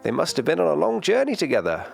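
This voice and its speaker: A man's voice: fairly high pitch, wildly swooping pitch, quick pace, creaky, soft background music, voice pushed up, exaggerated voice. A man voicing a loving old grandmother who is urgent and relieved, in a children's storybook. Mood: excited.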